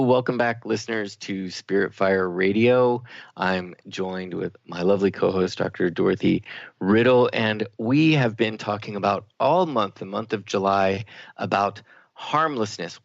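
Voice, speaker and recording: A man co-hosting a spiritual talk radio show in a conversational, reflective way with halting phrasing. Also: on the low side, gravelly, no echo, muffled recording.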